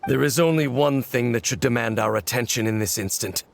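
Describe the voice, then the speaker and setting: An actor playing a stern, solemn warrior in a video game, speaking formally and severely with a gravelly voice. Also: male voice, medium pitch, a little fast, natural voice. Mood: grave urgency. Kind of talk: dramatic video game voice acting. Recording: game music behind the voice.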